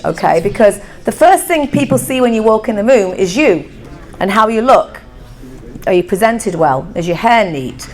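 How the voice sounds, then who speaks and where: A woman at mid pitch hosts a live event and introduces the next presenter. She speaks haltingly, with fillers and restarts. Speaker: event host. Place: event venue.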